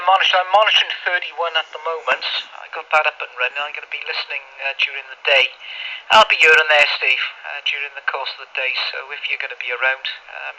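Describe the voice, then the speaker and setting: A man's voice: a little high, sharp tone, muffled recording. A British man rambling colloquially and mildly swearing in a casual chat as an amateur radio operator. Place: speaking into a two-way radio, recorded on a phone.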